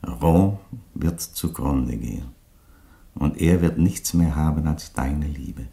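A deep, grave Roman emperor. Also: male voice, slow pace, creaky, mellow, roomy sound, exaggerated voice. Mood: tender, grave. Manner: solemn, declamatory, from whispers to thunder. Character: grave, defiant Roman emperor, fatherly, solemnly judging. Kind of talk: theatrical radio drama acting.

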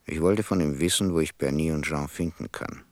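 Grim old-school crook reading his confession, super low creaky voice, flat matter-of-fact, radio drama. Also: brisk, no room sound. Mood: grim, cold. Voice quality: very deep, gravelly. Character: hardened ex-convict robber, grimly confessing in a letter. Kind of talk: radio drama letter monologue.

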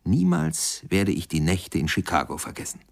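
A man's voice: low voice, huge pitch swings, moderate pace, full character voice. A man acting in a German radio play as a musing biographer-narrator who conversationally questions and prompts his listener. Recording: music clearly behind the voice.

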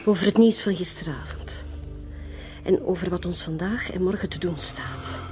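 A woman's voice: fairly low pitch, normal speed, darkish tone, soft background music, close to an everyday voice. An actress playing an anxious, insistent middle-aged Flemish wife and mother in a radio play. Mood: weary, worried. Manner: worried, urgent, insistent.

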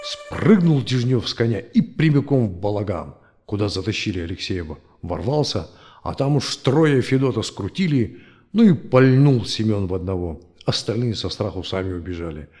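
An actor playing a grandfather who tells history in a folksy, animated, gently teasing way in an audio play. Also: fairly low pitch, normal speed, close to an everyday voice. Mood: animated storytelling. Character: old grandfather storyteller teaching his grandchild, lively and gently chiding. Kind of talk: audio play storytelling narration.

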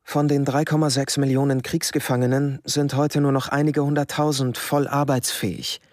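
An actor playing a detached wartime official, formally reading out orders and reports in a radio drama. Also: male voice, medium pitch, level tone, moderate pace, darkish tone, dry recording, clean studio sound, close to an everyday voice. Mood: officious, detached. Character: bureaucratic Nazi official stating orders and reports.

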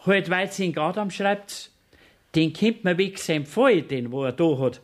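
An actor playing a sly, nosy court clerk in a Bavarian dialect radio play, probing and insinuating with mock concern. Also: male voice, sits high, a little slow.

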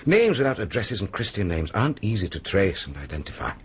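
An actor with a British accent playing a gruff, blunt, sceptical police pathologist in a radio drama, husky and brusque. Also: male voice, sits low, very animated, a little fast, a little hiss or hum. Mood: matter-of-fact. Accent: British English. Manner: brusque, dismissive, dry.

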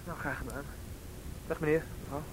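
A man playing a teenage schoolboy in a radio play. The voice is fairly high and fast, polite but guarded, with careful wording. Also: steady tone, natural voice, poor audio. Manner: hesitant, carefully worded. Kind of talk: Dutch radio drama acting. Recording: radio play with faint street rumble.

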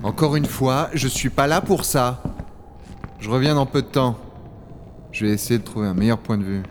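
A man's voice: medium pitch, normal speed, a little hiss or hum, lightly acted. A man playing a sceptical dragon hunter who also narrates in a science-fiction audio drama, blunt, sardonic and colloquial. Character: Owen, gruff, sceptical male dragon hunter. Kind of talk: French audio drama acting and first-person narration.

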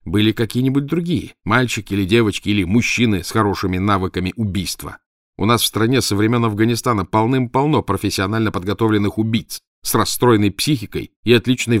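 A man's voice: on the low side, no echo, studio quality, close to an everyday voice. A man voicing a stern young male private detective pressing a suspect. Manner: stern, interrogating.

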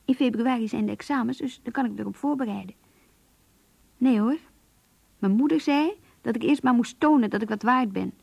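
A woman's voice: fairly high pitch, a little fast, vocal fry, a little mellow, breathy edge, dry recording. An actress playing an earnest, melancholy young office clerk reading her diary in a radio drama, introspective and subdued. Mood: earnest, reflective.

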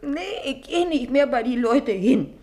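A woman's voice: high-pitched, animated, unhurried, mellow. An actress playing a stubborn teenage servant girl in a radio drama, whining defiantly in Berlin-area dialect. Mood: complaining, defiant.

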